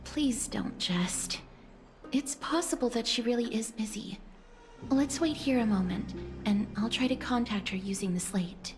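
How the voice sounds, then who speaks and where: A woman voicing a gentle, solemn young noblewoman in a video game, speaking softly in a polite, formal manner. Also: a little high, unhurried, over music, close to an everyday voice. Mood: polite, calm. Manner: polite, formal, soft-spoken.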